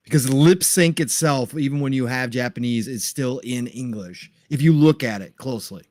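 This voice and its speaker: A man co-hosting a gaming podcast, chiming in with quick agreeing replies. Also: mid-range voice, darkish tone, dry recording, studio quality. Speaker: podcast co-host. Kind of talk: casual gaming chat.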